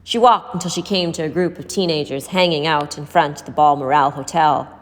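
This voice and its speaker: Female audiobook-style narrator, fairly low voice, reading prose aloud with hall-like reverb. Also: fairly quick. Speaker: story reader. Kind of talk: scripted story narration.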